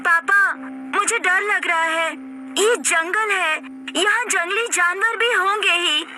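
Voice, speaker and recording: Woman as a high-pitched timid little girl. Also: slow pace, pushed and bright, a little hiss or hum, exaggerated voice. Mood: scared. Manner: childlike, timid. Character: frightened little girl, sweet and innocent daughter. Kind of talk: Hindi cartoon voice acting.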